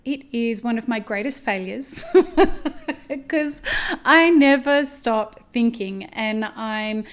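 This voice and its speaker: A woman with an Australian accent hosts a solo podcast, speaking in a slightly high voice. She thinks aloud in a candid, reflective, rambling way and swears now and then. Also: smooth. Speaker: podcast host.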